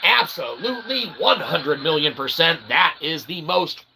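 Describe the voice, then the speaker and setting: A man hosting his own channel, rambling through an opinion monologue with lots of "okay?" and sudden loud outbursts. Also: a little high, a little slow, gravelly, noisy background. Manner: rambling, repeats "okay?" and fillers, bursts of loud excitement. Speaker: channel host.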